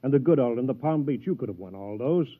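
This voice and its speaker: Cold, arrogant moneyed father, male actor, mid-pitched, needling, old radio play with narrow phone-like sound. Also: animated, natural voice. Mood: taunting. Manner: condescending, deliberate, needling. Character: arrogant, rich middle-aged father, coldly belittling. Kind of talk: 1950s radio drama acting. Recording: thin, phone-like vintage radio recording.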